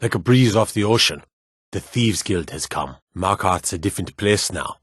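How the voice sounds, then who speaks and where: Gruff fantasy game town guard, lowish voice, bit husky, dry close sound. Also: male voice, animated, normal speed, fairly bright tone, dry recording, heavily acted. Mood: wary, gossiping. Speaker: video game voice actor. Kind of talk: fantasy game character barks.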